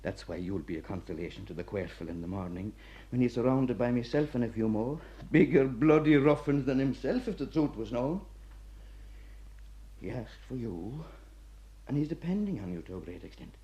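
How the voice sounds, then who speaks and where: An actor playing an older Irish prison warder, gruff yet fatherly, lecturing wryly in a radio play. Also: medium pitch, expressive, normal speed, warm tone, highs cut off, lightly acted. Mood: gently persuasive.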